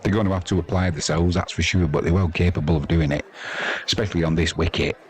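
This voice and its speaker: A man's voice: low voice, fairly even intonation, quick pace. A man giving casual, blokey live cricket commentary, conversational and opinionated as he chats with viewers.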